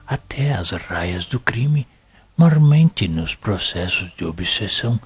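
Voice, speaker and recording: A man's voice: low-pitched, a bit bright, breathy edge, heavily acted. A man playing a wise, serene spirit minister in an audio drama, teaching in a formal and solemn manner. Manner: solemn, measured, formal, instructive. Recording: music playing behind the voice.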